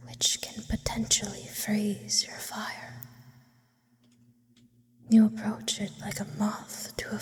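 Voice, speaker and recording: An ancient, wistful demon played by a woman whispers in an eerie, intimate ASMR roleplay. The delivery is very slow and deliberate, with formal, theatrical phrasing. The breathy female voice sits a little high and hangs in a long reverb. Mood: intimate, alluring. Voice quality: breathy, mostly unvoiced whisper. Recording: long reverberant hall effect.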